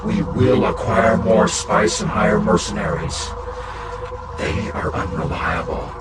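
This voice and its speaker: An actor playing a cold, eerie envoy of a secretive merchant house in a video game cutscene, speaking in clipped, formal, detached, halting phrases. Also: male voice, lowish voice, fairly even intonation. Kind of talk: scripted video game cutscene acting, mission briefing. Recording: distant, echoing voice under loud music and noise.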